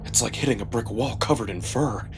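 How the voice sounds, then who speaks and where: Expressive male narrator over music. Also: mid-range voice, fairly quick. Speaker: narrator and performer. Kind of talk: dramatic first-person scripted story narration.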